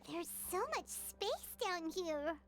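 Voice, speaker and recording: A woman voicing a tiny, excitable, girlish floating companion in a video game, with a squeaky, childlike voice and wide-eyed exclamations. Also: slow pace, a little hiss or hum, heavily acted. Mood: awed. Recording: game audio under background music.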